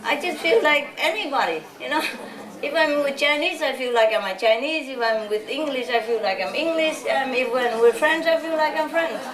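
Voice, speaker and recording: High-voiced woman lecturing in an echoey hall. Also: normal speed, crowd noise. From Vietnam. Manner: chatty, laughing often, rambling asides. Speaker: spiritual teacher. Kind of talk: informal lecture to followers. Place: large hall with a live audience. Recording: echoey hall, distant mic, audience noise.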